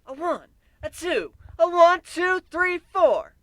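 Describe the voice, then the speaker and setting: A man's voice: very high voice, animated, slow, fairly bright tone, very echoey, recorded from a distance, voice pushed up, exaggerated voice. A man voicing a mischievous griffin showman who projects like a contest announcer in a dramatic story reading. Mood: excited.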